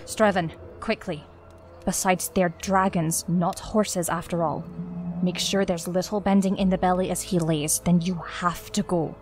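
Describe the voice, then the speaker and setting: A woman playing a brisk female healer who gives edgy, urgent orders in a fantasy audio drama. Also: fairly low pitch, fairly even intonation, darkish tone, soft background music, close to an everyday voice. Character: brisk, commanding female healer under pressure.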